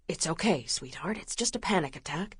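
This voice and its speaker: A woman voicing a stubborn, hurt young male rancher in an audiobook, speaking low, firm and hushed. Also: lively intonation, unhurried, no echo, studio quality. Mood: soothing.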